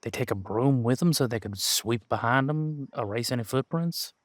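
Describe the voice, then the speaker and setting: A man playing a seasoned, wry detective who patiently mentors a rookie in an audio roleplay drama, musing aloud in unhurried, folksy phrasing. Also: medium pitch, no room sound, clean studio sound, natural voice. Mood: puzzled, wry. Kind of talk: roleplay audio drama, one-sided conversation.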